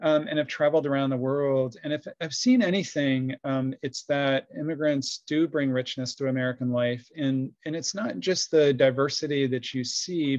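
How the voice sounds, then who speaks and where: A man's voice: mid-range voice, darkish tone, no echo, dull audio. A man presenting in a webinar, hesitant, with many ums and uhs, on a video call. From grew up overseas. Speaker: webinar guest speaker. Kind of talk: casual presentation.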